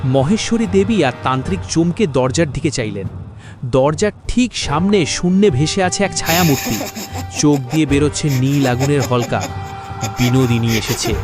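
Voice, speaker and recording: A man's voice: mid-range voice, normal speed, over music. A man narrating a scripted horror story in a theatrical, suspenseful and emotive manner.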